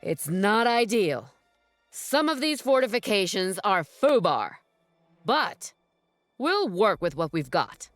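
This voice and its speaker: Blunt, animated military officer. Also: female voice, medium pitch, slow, no room sound, faint music behind. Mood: businesslike. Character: blunt, skeptical female military field officer. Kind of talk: scripted video game acting.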